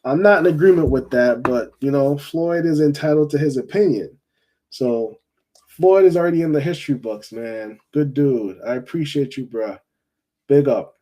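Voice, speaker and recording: A man's voice: mid-range voice, moderate pace, warm tone. Chatty man hosting football talk. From Jamaica. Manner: chatty, rambling, repeats himself, says you know often. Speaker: fan call-in show host. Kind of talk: casual football chat.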